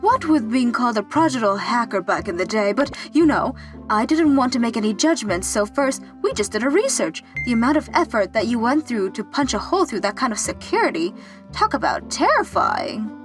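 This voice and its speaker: A woman acts out a young female office employee in a manga dub, loyal and outspoken. Her voice is high and very animated, assertive and reproachful, with music behind it. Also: a little fast. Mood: cool, knowing. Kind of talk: manga dub character acting.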